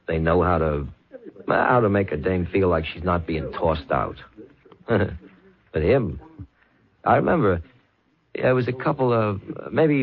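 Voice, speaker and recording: Slangy nightclub owner, very low gravelly voice, wisecracking, old radio drama, dull recording. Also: male voice. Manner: slangy, folksy, hesitating tough-guy talk. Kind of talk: old radio mystery acting. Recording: dull vintage radio, faint music.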